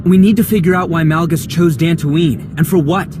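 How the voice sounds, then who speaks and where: An actor playing a friendly, earnest young Jedi trainee in a video game. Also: male voice, fairly high pitch, steady tone, moderate pace, noisy background, lightly acted. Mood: concerned. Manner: eager, earnest. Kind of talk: scripted video game voice acting. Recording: loud music under the voice.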